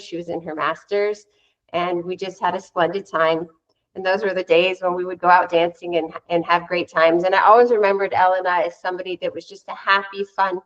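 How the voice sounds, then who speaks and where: A woman's voice: mid-range voice, dull audio. A woman hosting an interview, introducing her guest in a conversational way. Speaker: interview host.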